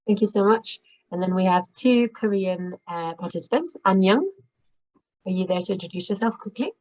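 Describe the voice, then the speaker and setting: A woman moderating an online webinar, polite and brisk as she calls on each participant. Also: medium pitch, expressive, a little mellow, low-quality recording. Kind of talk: hosting introductions. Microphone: video call.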